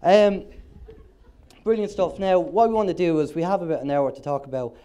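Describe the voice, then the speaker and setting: An Irish man with a cold gives an upbeat, joking stage talk, his voice a bit higher than most men's and nasal. His tone is soft and mellow rather than bright. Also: a little fast, smooth. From Ireland. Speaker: co-host of an internet radio show, conference speaker. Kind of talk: presenting on stage to a live audience. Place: conference hall on stage.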